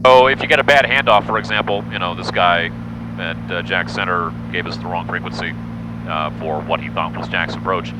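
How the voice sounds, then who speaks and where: A man with an American accent, a pilot and flight instructor, explaining casually with hesitant pauses. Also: sits low. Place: small aircraft cockpit in flight. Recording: loud aircraft engine hum. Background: engine drone.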